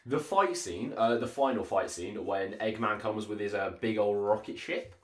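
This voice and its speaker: A man's voice: lowish voice, moderate pace. A man in casual, opinionated film discussion as a podcast co-host, speaking informally. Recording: echoey room sound.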